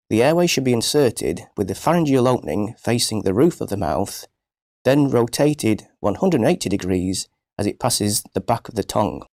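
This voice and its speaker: A man reading scripted instructional narration for a medical training film in a measured, precise, formal manner. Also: on the low side, a little mellow, dry recording, studio quality.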